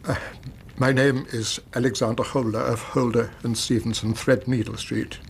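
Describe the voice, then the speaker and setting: Radio drama part: male actor as pompous, anguished English banker, fiftyish, gravelly, formal and halting. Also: mid-range voice, flat delivery, slight background noise, close to an everyday voice. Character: distraught, pompous Victorian banker, about fifty.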